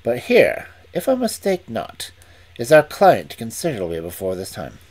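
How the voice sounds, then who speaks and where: A reader voicing a calm, incisive Victorian detective who reasons aloud in a measured, deliberate way. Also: medium pitch, voice pushed down. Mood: reasoning. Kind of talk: audiobook reading.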